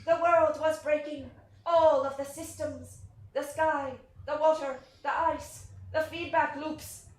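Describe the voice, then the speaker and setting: A precocious little boy, played by a woman, holds forth in a high voice with formal, bookish grandeur. He sounds pompous and theatrical, as on a stage. The room rings around the words. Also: steady tone, slow pace. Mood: grandiose. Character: precocious, formal orphan boy raised by drones. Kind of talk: theatrical staged play reading. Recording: roomy sound with faint noise.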